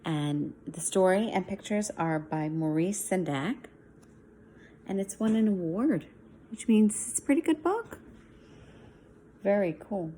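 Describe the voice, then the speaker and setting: A woman teacher reading a picture book aloud to young children, expressively, with softer asides to explain it. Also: mid-range voice, lively intonation, measured pace, pauses often, slight background noise, poor audio. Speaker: schoolteacher reading to young pupils.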